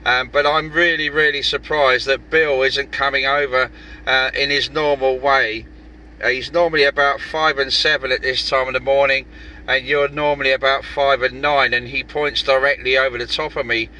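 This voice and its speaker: Man on ham radio, very sharp bright voice. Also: mid-range voice, little pitch movement, normal speed, a little hiss or hum, rough recording. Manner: signs off turns with "over". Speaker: amateur radio operator. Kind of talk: casual amateur radio chat.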